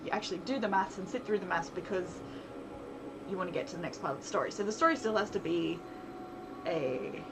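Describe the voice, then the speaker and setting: A woman streamer and game developer chatting casually on a livestream, thinking aloud in a rambling way with soft laughs. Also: fairly low pitch, brisk, over music, rough recording. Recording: loud background noise, vehicle sounds.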